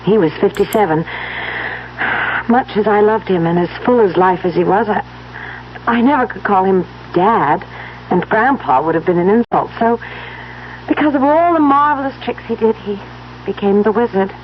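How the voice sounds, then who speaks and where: A woman's voice: mid-range voice, moderate pace, natural voice. An actress playing a tender, wistful woman in an old-time radio drama, speaking earnestly and with feeling. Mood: wistful, fond. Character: tender, wistful woman mourning her beloved step-grandfather. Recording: muffled vintage radio recording, faint hiss.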